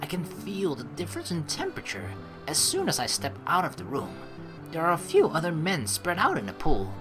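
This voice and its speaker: A woman hosting a let's play, reading game narration aloud and adding casual, expressive, joking commentary. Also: low-pitched, a little fast. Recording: soft game music behind. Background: game music.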